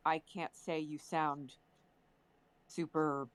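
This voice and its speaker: A woman's voice: low voice, little pitch movement, slow, clear, resonant tone. A woman in casual conversation, asking questions and thinking aloud. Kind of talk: casual chat.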